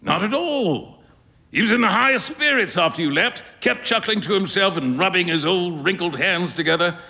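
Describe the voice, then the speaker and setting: A man's voice: high-pitched, expressive, moderate pace, voice pushed up, exaggerated voice. A man voicing a jovial male painter who teases his friend, read aloud on a stage. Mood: amused. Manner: bright, hearty, amused. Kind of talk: stage reading of a story. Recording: reverberant live hall.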